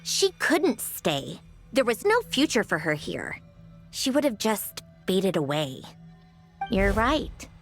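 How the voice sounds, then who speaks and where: A woman's voice: medium pitch, very animated, slow. A woman playing an aloof, prickly young woman with a melancholy streak and a haughty, sighing manner in a video game. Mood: grieving, reflective. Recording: music under the voice. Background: background music.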